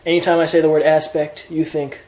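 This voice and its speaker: A man's voice: on the high side, level tone, a little fast, darkish tone, echoey room. A man teaching introductory Greek grammar in an informal lesson, explaining patiently step by step with examples. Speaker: Greek tutor.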